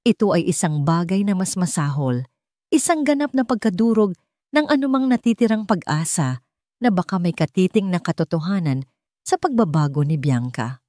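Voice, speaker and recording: A woman's voice: lowish voice, mellow, dry recording, clean studio sound. A woman narrating a scripted dramatic story as a storyteller.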